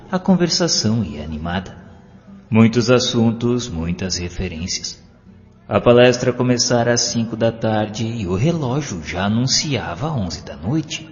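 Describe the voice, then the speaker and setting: Deep-voiced Brazilian man narrating in long reverb. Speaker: audiobook narrator. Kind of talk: scripted narration of devotional stories. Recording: muffled, long reverb, music behind. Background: background music.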